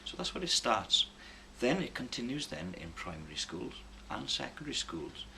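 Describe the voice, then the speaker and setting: A man's voice: medium pitch, big pitch swings, moderate pace, creaky, bright, forceful tone. A man who works as a Welsh language tutor, giving thoughtful interview answers with frequent ums and restarts. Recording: noisy background hiss.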